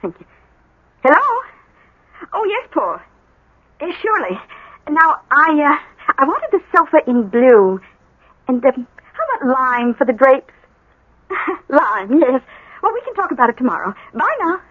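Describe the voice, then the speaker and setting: An actress playing an impatient, defensive small-town wife in an old-time radio drama, speaking emphatically. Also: female voice, sits high, very animated, unhurried, pauses often. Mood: breezy. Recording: tinny, phone-like old radio recording.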